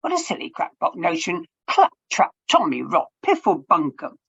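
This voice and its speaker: These are stern, disapproving town grown-ups from a storybook, put on by a woman reading aloud. They bluster and scold with lively swings in pitch. The sound is muffled and lo-fi. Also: normal speed, gravelly, breathy edge, exaggerated voice.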